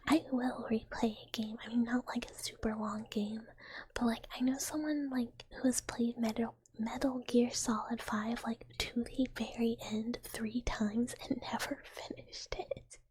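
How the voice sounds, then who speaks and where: A woman's voice: fairly high pitch, fairly even intonation, measured pace, a little mellow, a little hiss or hum, rough recording. A woman streamer whispering casual, giggly, rambling chat to her viewers. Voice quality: whispering, very breathy. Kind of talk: whispered ASMR chat while reading viewer comments.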